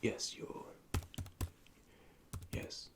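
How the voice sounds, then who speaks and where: A man whispering softly and methodically as he plays a repair technician in an ASMR roleplay, recorded close to the microphone. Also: extremely slow, background noise. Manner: soft, calm, methodical, speaks in technical jargon. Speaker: ASMR creator playing an android repair technician.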